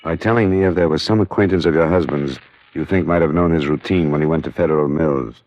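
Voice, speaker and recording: An actor playing a hard-boiled American investigator, matter-of-fact and methodical, in a radio noir drama. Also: male voice, a bass voice, fast, darkish tone, dry recording. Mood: firm, sympathetic. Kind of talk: scripted first-person radio noir narration and dialogue. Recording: dull vintage radio recording, music.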